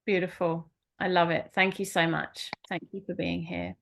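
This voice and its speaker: Gravelly-voiced woman hosting a group call. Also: fairly low pitch, fairly even intonation, moderate pace, a bit bright. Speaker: business mentor hosting a group session. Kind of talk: casual group facilitation.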